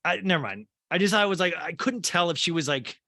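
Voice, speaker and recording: American guy podcasting, lively swoopy voice, rambles and cuts himself off, dry close sound. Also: sits high, fast, no echo. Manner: rambling, animated, self-interrupting. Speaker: podcast host. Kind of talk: casual comic recap.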